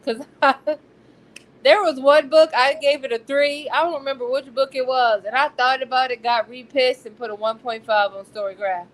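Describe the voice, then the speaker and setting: An American woman chatting casually as a book discussion co-host, candid and slangy in her animated storytelling. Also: high voice, normal speed. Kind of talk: casual chat. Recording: noticeable background noise.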